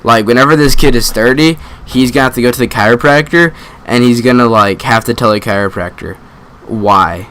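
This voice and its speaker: A man's voice: mid-range voice, fairly quick, fairly bright tone, slight background noise, low-quality recording. A young man with a mid-range voice tells a story in a loose, casual way. He rambles, leans on slang and swearing, and often breaks off his own sentences before picking the thread back up. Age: young adult. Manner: rambling, slangy, frequent swearing, self-interrupting. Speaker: gaming video creator. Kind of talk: casual storytelling over gameplay.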